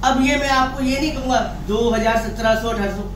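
A man gives an emphatic, repetitive, casual sales pitch. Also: fairly quick. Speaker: phone wholesaler. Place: small office stockroom, indoors. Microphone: camera a few steps away. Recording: echoey room, distant mic, faint noise.

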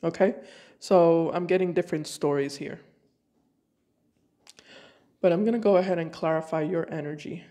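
Lady tarot reader w a cold, mellow voice, kinda stops and restarts while thinking out loud. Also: on the low side, moderate pace, pauses often. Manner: soft, thinking aloud with hesitant restarts. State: sick with a cold. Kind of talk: casual tarot reading to camera. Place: home room with decorated card table.

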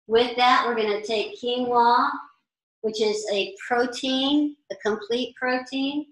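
A woman's voice: a little high, fairly even intonation, slow. An older woman chef in her sixties, teaching meal planning in a chatty, practical way in a large kitchen. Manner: chatty, practical, standing and gesturing at boards. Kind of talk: informal cooking class talk. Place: large indoor commercial kitchen. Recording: echoey kitchen room sound.

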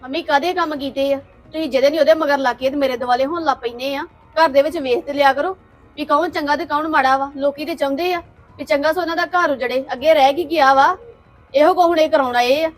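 A defiant young woman, played by a woman, argues back and refuses to give in. Her voice sits high. Light music plays in the background. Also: steady tone, fast, close to an everyday voice. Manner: defiant, argumentative. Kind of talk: Punjabi family drama.

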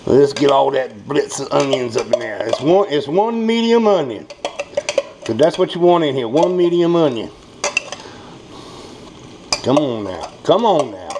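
A man with an American accent gives a casual, off-the-cuff home cooking demonstration, folksy and salty-tongued, often repeating himself. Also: on the high side. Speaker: home cook presenting a recipe. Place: small home kitchen at the stove. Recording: clear kitchen noise, pan and utensil clatter. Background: frying, stirring, clinking dishes.

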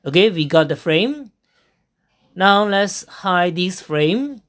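Woman narrating a software tutorial. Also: fairly low pitch, animated. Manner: step-by-step instructions with frequent fillers and pauses. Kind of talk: screen-recorded software tutorial voice-over.

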